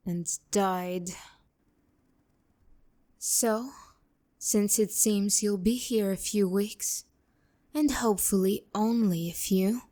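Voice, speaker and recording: Casting: actress as a sharp-tongued female demon courtesan for ASMR roleplay; mellow tone, unhurried delivery, haughty and seductively teasing. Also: medium pitch, close to an everyday voice. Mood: sardonic, annoyed, then bossy, threatening. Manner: condescending, teasing, biting insults. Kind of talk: romantic ASMR roleplay, addressing the listener.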